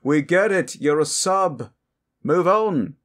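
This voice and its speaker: A man's voice: a little high, expressive, takes it slowly, voice pushed up. A man voicing a brash, sarcastic and impatient adventurer in an improvised fantasy audio roleplay.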